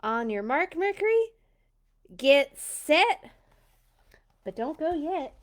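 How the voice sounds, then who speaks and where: Woman acting out an excitable old storybook farmer, high-pitched. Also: slow, heavily acted. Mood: rousing, bold.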